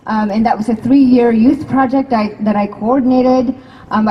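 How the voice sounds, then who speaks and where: A woman's voice: a little high, warm tone, recorded from a distance, a little hiss or hum, low-quality recording. Hesitant woman moderating a panel. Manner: hesitant, many fillers and restarts. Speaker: faculty member and panel moderator. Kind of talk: casual panel introduction. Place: outdoor campus courtyard.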